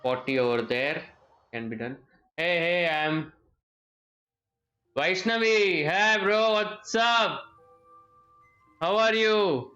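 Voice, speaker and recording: Bright-voiced man streaming casually. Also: high-pitched, takes it slowly, pauses often, dull audio, faint music behind. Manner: informal, repeats words and short phrases. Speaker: video game streamer. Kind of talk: casual live gameplay chat with viewers.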